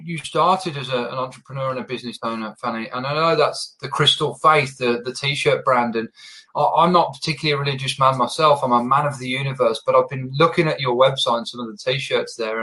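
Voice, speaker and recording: A man speaks as a podcast host in an upbeat, conversational interview style. His voice is bright and forward, with a clear edge to it. He addresses both guest and listeners directly. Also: medium pitch, poor audio. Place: based in Melbourne, live online podcast.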